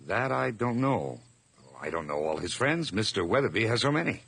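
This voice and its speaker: A man's voice: on the low side, sing-song, moderate pace, gravelly, a bit bright, slightly rough, no echo, heavily acted. An actor playing a nervous, over-polite hired man hiding guilt, stammering and effusive in an old radio horror drama. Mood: evasive, polite.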